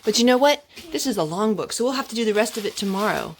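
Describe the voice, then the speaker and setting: Woman reading to little kids, very lively pitch. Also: quick pace, background noise. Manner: singsong, coaxing child-directed talk. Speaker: mother reading a picture book to her young children. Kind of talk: storybook read-aloud with chatty asides to children.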